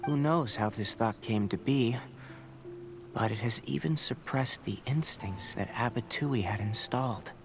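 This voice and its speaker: Man playing a young male game scholar, measured, matter-of-fact, quietly caring, medium pitch. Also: lightly acted. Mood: thoughtful. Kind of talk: anime video game voice acting. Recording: game music behind the voice.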